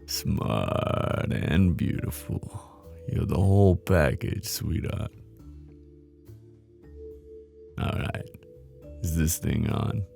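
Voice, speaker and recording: A man playing a flirty, menacing mob boss in an intimate ASMR roleplay, with a teasing, cocky drawl and a deep, gravelly voice. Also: expressive, slow, warm tone, soft background music, full character voice.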